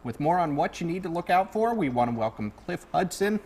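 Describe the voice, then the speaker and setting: A man's voice: a little high, big pitch swings, a little fast, a little mellow, husky. Chatty male talk show host. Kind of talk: upbeat local talk show interviewing.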